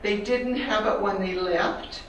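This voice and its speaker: An older woman with a Canadian accent gives a reflective, personal slide talk about her artwork to an audience, pausing with fillers. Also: medium pitch, a little hiss or hum. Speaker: artist giving a talk. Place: large echoey room. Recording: very echoey hall from a distance.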